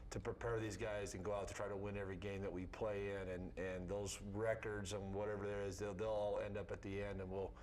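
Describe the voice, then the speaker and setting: A man's voice: lowish voice, level tone, a little fast, few pauses, darkish tone, slight background noise. A middle-aged or older man, a sports coach, answering informally as an interview guest.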